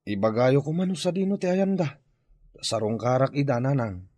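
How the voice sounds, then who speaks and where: A man's voice: mid-range voice, very animated, normal speed, a little mellow, no room sound, clean studio sound. A man with a Filipino Ilocano accent playing a hungry, jobless father who pleads plaintively in a radio comedy drama. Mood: wistful, pleading. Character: hungry, pleading jobless husband and father longing for his family.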